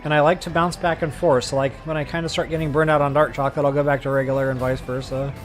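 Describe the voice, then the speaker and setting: A man's voice: fairly high pitch, little pitch movement, quick pace. A man talking casually to his viewers as a game livestreamer, chatty and informal. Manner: chatty, addresses viewers as guys and y'all. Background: game music.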